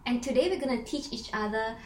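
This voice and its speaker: A woman's voice: on the high side, darkish tone, echoey room. A woman from Singapore co-hosting a casual chat, teaching slang with giggly enthusiasm. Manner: giggly, enthusiastic, quick to laugh. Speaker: co-host.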